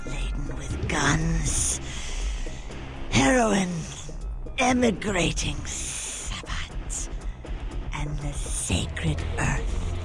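Slow, creaky, menacing serpent priestess. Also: female voice, low voice, very animated, exaggerated voice. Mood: imperious, bargaining. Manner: slow, theatrical, drawn-out delivery. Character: menacing female serpent-priestess of Set, bargaining and commanding. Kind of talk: video game cutscene acting. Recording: music behind the voice.

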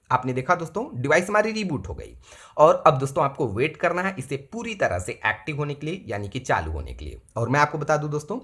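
A man's voice: medium pitch, a bit bright, breathy edge. A man hosting a step-by-step phone repair tutorial, talking to viewers as friends and repeating each step. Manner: repetitive, addresses viewers as friends. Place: indoors at a table, hands-only camera view.

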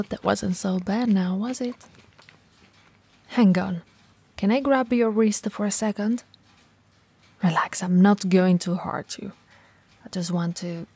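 A woman playing a tall, cool, flirty shop owner in a soft, breathy, intimate ASMR roleplay. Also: medium pitch. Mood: relaxed, coaxing. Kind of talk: ASMR romantic roleplay acting. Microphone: close mic, dry.

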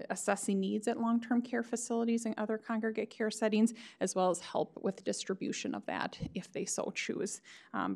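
A woman's voice: medium pitch, vocal fry. A woman public health official giving a board meeting briefing over a video call, hesitant with many fillers.

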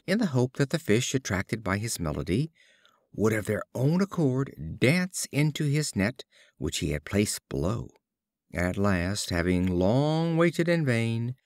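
A man narrating fables as an audiobook reader, with theatrical, deliberate phrasing. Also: fairly low pitch, no echo, clean studio sound. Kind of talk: scripted storybook narration of fables.

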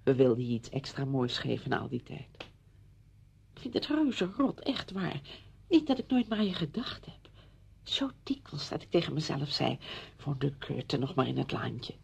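An actress playing a chatty, meddling woman visiting an old friend in a Dutch radio play, brisk and insistent in manner. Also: extremely low pitch, big pitch swings, full character voice. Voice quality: husky, creaky.

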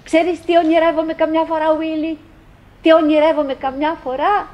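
An actress playing a chattering, wistful, nagging wife who clings to cheer, in halting, repetitive theatrical monologue for an absurdist radio play. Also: female voice, very high-pitched, little pitch movement, muffled recording. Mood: coaxing, tender. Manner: halting, repetitive, theatrical, mimicking remembered dialogue.

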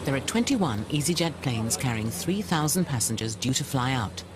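A man's voice: mid-range voice, very animated, moderate pace, heavy background noise. A man reading scripted narration for a television documentary.

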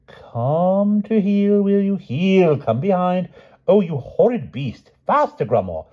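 A man playing a dithering, high-voiced old knight-king. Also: big pitch swings, takes it slowly, narrow, tinny audio, full character voice. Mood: flustered. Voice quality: dithering, upper-class, tacks on "what?". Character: bumbling, fussy elderly knight-king, kindly and flustered.

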